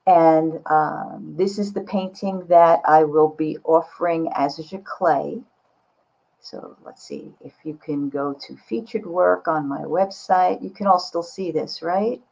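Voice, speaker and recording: A woman in her sixties chatting casually and expressively on a video call, with a slightly husky voice. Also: deep voice, measured pace, rough recording. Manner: expressive, exclaiming. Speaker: guest artist. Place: small indoor studio room with bookshelves.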